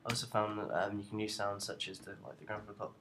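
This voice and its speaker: A young man giving an informal software tutorial in a hesitant, halting way. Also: on the low side, noisy background. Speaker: student tutorial presenter.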